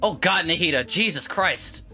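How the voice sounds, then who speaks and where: A man doing casual live gameplay commentary, streaming in an excitable, slangy way with swearing and exclamations. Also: a little high, moderate pace, soft background music. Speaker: game streamer.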